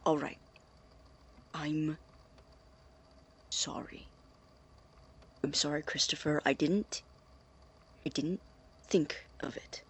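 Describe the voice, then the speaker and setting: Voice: mid-range voice, fairly even intonation, takes it slowly, pauses often, husky, whispered, heavily acted. An actor playing an anxious, secretive man in an audio drama, stammering and defensive as he guards his experiments. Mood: subdued, tense. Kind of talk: naturalistic audio drama acting.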